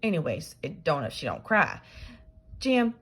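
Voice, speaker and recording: A woman's voice: low voice, wildly swooping pitch, a little slow, fairly bright tone, husky, exaggerated voice. A woman reading aloud and voicing a mischievous young boy who coaxes and brags to get out of a chore. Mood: coaxing. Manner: coaxing, wheedling, boastful.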